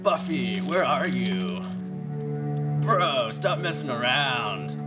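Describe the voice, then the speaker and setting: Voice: medium pitch, animated, slow, loud music behind the voice. An actor playing a boisterous young male bro camper full of slang in a live radio play. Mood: worried. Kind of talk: live student radio theatre.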